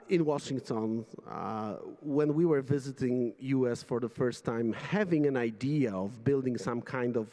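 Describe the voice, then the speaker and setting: A man whose first language is Polish, moderating a conference panel and halting as he searches for his words. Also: mid-range voice, warm tone. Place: large conference hall stage. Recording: reverberant hall.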